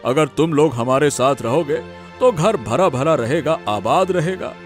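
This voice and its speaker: Kindly, expansive older village boss. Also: male voice, on the high side, animated, a little slow, soft background music. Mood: genial. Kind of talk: Hindi cartoon family drama.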